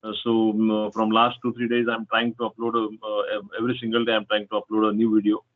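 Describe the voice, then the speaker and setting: A man's voice: lowish voice, monotone, quick pace, dry recording. A young man from India with an Indian English accent, Kannada his first language, chatting on a video call and hosting his channel, hesitant with many fillers. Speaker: YouTuber and host. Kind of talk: casual video call chat. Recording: tinny phone-like call audio.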